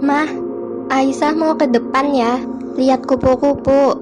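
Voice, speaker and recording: A woman voicing a cheerful, chatty young girl in an animated cartoon. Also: sits high, unhurried. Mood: eager. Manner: eager childlike chatter. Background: music.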